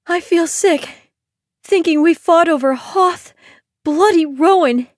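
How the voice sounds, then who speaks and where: A woman's voice: extremely high pitch, slow pace, no echo, studio quality, pitched above the performer's own voice. A woman voicing an anxious young woman, worried and pleading in manner. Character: anxious young woman, shaken after battle.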